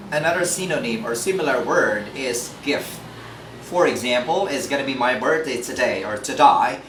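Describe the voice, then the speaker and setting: A man teaching English pronunciation in a lively, joking classroom style, often asking listeners questions. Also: mid-range voice. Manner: animated, jokey, asks listeners questions. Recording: echoey room, mic at a distance, background noise.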